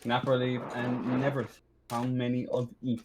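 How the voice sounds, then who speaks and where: A man's voice: mid-range voice, monotone, moderate pace. A man running a tabletop game as game master, talking casually in an easygoing, accommodating way. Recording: noisy line with clicks.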